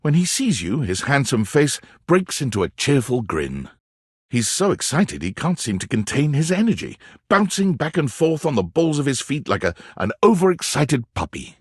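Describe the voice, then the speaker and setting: An actor narrating a video game in the second person, in a wry storytelling manner. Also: male voice, medium pitch, sing-song, normal speed, slightly rough, no echo, clean studio sound. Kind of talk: scripted narration.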